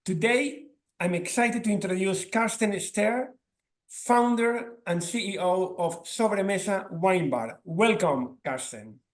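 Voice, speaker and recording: A man with a notably high voice hosts the interview. He opens with a formal welcome and stumbles a little as he phrases his questions. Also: slow. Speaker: interview host. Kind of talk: interview hosting.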